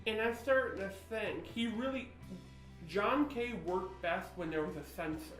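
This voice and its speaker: A man with a lisp co-hosting a podcast in casual chat, rambling and jokey with quick tangents. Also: high-pitched, fairly bright tone, roomy sound, soft background music, low-quality recording. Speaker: podcast co-host.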